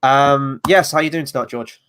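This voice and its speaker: A man's voice: mid-range voice, moderate pace, fairly bright tone, clean tone. A man hosting a casual review chat, a little stuffy from a cold, rambling through his opinions with fillers. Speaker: podcast host.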